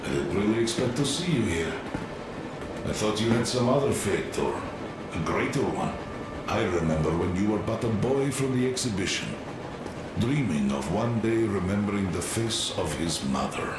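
An actor playing a calm, wise wanderer in a video game, speaking gravely and philosophically. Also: male voice, moderate pace, close to an everyday voice. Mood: solemn, wistful. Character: solemn, mystical male wanderer, calm and wise. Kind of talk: dramatic video game acting. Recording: echoey, with loud train rumble.

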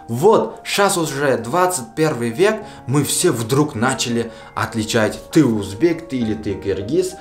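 A young man gives casual to-camera commentary from a small indoor room, speaking earnestly and appealing straight to his audience. Music plays clearly under his voice. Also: mid-range voice. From Russia. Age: young adult. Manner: earnest, appealing directly to viewers. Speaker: video blogger.

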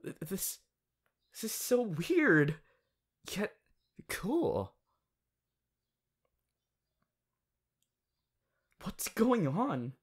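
A woman voicing an affectionate, excitable young male anime hero turned bunny in an ASMR roleplay, with a soft, hesitant delivery. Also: mid-range voice, very slow, husky, full character voice. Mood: puzzled. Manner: hesitant, giggly, swinging from murmurs to excited exclamations. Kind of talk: ASMR audio roleplay, acted monologue.

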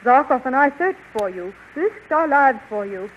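On a muffled, hissy old radio recording, a very high-pitched young heroine. She is proud, jealous and full of stinging sarcasm, in theatrical thirties serial style. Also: animated, normal speed. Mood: indignant, sarcastic. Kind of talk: 1930s radio serial acting.

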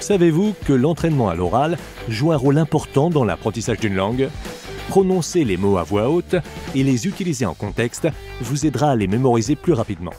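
A man's voice: mid-range voice, very animated, fast, warm tone, faint music behind. A man narrating a scripted bilingual audiobook lesson as a teacher, addressing the listener in an encouraging way.

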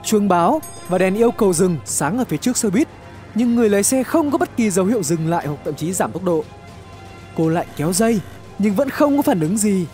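A man narrating a scripted horror story in a suspenseful, expressive, dramatic way. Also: sits high, a little fast. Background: background music.

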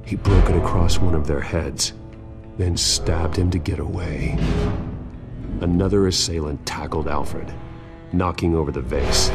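An American actor playing a grim, determined vigilante detective in a video game, speaking tersely and deliberately. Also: male voice, very deep voice.

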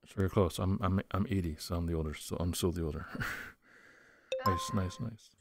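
A cheerful, slightly drunk man in his forties with a very deep voice chats with other players and repeats himself a lot. His voice is dry and close, with no room around it. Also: normal speed, no echo. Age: 40s. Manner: cheerful, repetitive, chuckling. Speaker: player in a social VR drinking game. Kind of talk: casual chat.

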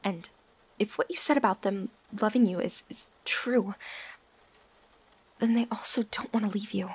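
A woman acts out a young female healer in an ASMR-style drama. Her voice is breathy and husky at a medium pitch. She speaks with earnest, persuasive emphasis. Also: normal speed, lightly acted. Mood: earnest, insistent. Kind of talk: ASMR audio roleplay acting.

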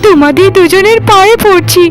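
Woman acting very high-pitched remorseful young sister. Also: brisk, poor audio. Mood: overwhelmed, pleading. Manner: pleading, lamenting, repeats phrases. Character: remorseful young unmarried sister begging forgiveness. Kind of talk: melodramatic cartoon story acting. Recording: music behind the voice.

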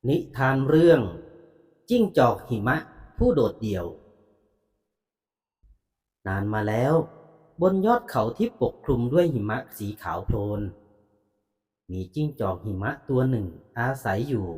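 A man narrating bedtime fables in a calm, moralizing storytelling manner. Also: mid-range voice, measured pace, pauses often, long reverb tail.

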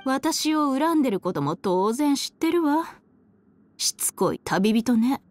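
A woman playing a haughty, cruel, elegant villainess in a Japanese anime game, with a condescending, mocking, drawn-out aristocratic delivery. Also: fairly high pitch. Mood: mocking, aloof. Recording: studio voice over game music.

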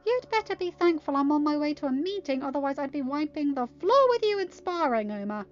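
A woman acts out a feisty, sarcastic teenage girl from a storybook, exasperated and emphatic. The voice leaps high above ordinary narration, on slightly muffled audio. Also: very animated, brisk. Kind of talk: amateur story reading with dialogue. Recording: dull lo-fi audio, music behind.

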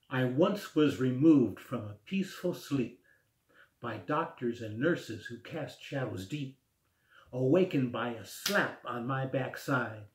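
A man in his sixties reading his own rhymed poem aloud at home in a slow, rhythmic recitation. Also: medium pitch. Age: 60s. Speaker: poet. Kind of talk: spoken word poetry reading. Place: small room at home, indoors. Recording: echoey room.

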